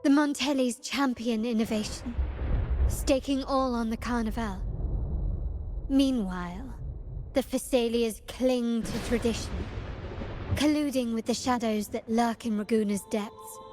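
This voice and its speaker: Slow-speaking enigmatic elegant woman played by a woman. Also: a little high, music in the background, close to an everyday voice. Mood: composed, knowing. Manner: measured, formal, deliberate, cryptic. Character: enigmatic, elegant young woman, knowing and composed. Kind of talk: scripted video game cutscene dialogue.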